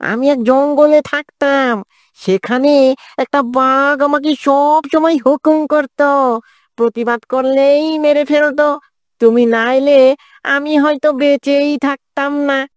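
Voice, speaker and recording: A woman voicing a sly, greedy fox in a children's cartoon, wheedling and scheming in a flattering way. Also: sits high, slow, no echo, clean studio sound.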